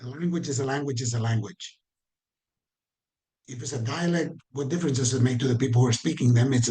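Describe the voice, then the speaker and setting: This man speaks with a Caribbean Spanish accent, and he sits in the middle of the usual pitch range for men. He leads a training session over a video call with a loose, conversational delivery. He puts questions to his audience and repeats his points for emphasis. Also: a little mellow, low-quality recording. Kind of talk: online training talk.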